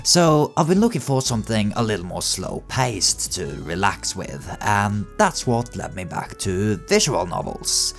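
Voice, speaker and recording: A man's voice: on the low side, sing-song, unhurried, few pauses. A man giving scripted comedic narration as a video essay host, in a wry, tongue-in-cheek way. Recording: music behind the voice. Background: background music.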